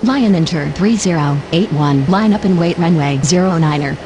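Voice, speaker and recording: Lady doing low air traffic control voice, formal and clipped, game radio calls, faint hum. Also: normal speed, close to an everyday voice. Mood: businesslike. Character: procedural female airport controller and pilot radio voice. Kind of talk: scripted aviation radio phraseology.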